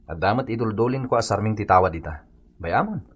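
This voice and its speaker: An actor playing a forgiving village uncle in a radio comedy-drama, expressive and easygoing, with an Ilocano accent. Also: male voice, fairly low pitch, quick pace, husky, lo-fi sound. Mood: forgiving, amused. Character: reformed hot-tempered village man, now forgiving uncle.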